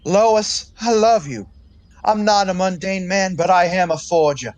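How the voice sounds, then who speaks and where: A man's voice: high voice, a little slow, no room sound. An actor playing an earnest, lovestruck, rather pompous young man in a 1920s comedy audio play, speaking in a florid, self-serious way.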